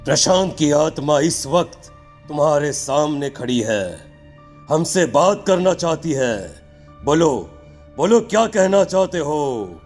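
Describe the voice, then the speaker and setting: A man's voice: on the high side, expressive, slow pace, a little mellow, faint music behind. A man playing a stern holy man and exorcist in a dramatic audio story, speaking in a grave, commanding, accusing way.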